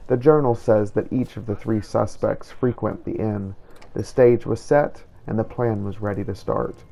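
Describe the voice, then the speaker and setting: A man voicing a solemn, righteous vampire-hunting inquisitor, narrating his hunt in formal, measured, old-fashioned phrasing for a game roleplay. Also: on the low side, fairly even intonation, gravelly, mellow. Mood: grim, resolute. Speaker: video game player narrating in character. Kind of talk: scripted first-person roleplay narration.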